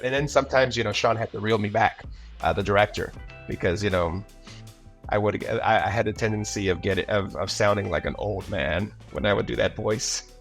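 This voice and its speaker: Low-voiced man chatting in an interview. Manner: animated, rambling with repeated words. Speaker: voice actor being interviewed. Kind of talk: casual interview chat with anecdotes.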